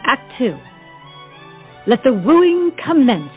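Woman as lively, playful stage announcer. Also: high-pitched, takes it slowly, narrow, tinny audio, faint music behind, exaggerated voice. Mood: playful teasing. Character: playful theatrical announcer introducing the act. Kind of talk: scripted stage narration.

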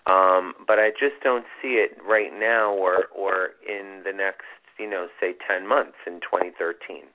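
A man with a fairly low voice hosts a call-in radio show and talks callers through their readings. He is calm and reassuring, with plenty of "you know" along the way. It all comes through a narrow, tinny broadcast band. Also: measured pace. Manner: reassuring, conversational, says "you know" often. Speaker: astrologer radio host.

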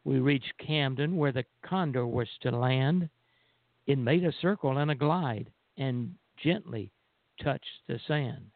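A man co-hosting a radio show, speaking in a measured, deliberate way over a band-limited phone line. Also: medium pitch, dry recording. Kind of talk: poem recitation, then casual show talk.

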